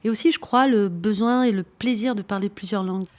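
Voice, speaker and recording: A woman co-hosting a bicycle radio project, presenting it in a lively, list-like scripted delivery. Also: mid-range voice, fairly quick.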